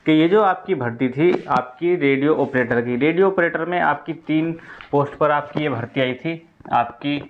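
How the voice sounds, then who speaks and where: A man's voice: a little high, moderate pace, narrow, tinny audio, slight background noise. A man giving an informal explainer to students as a presenter, going step by step and repeating key points. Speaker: exam news presenter explaining updates to candidates.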